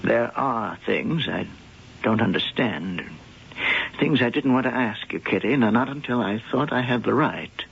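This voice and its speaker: An actor playing a skeptical male professor in love, with a gravelly voice and a hesitant, earnest manner, in an old radio drama. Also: mid-range voice, animated, measured pace, exaggerated voice. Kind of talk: 1970s radio drama acting. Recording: dull old radio broadcast with music.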